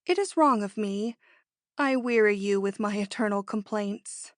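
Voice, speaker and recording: A woman's voice: mid-range voice, measured pace, dry recording, lightly acted. A woman playing a melancholy romantic lady, close and dry. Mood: plaintive. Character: melancholy, romantic married woman, wistful and self-pitying. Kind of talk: dramatic reading of classic literature.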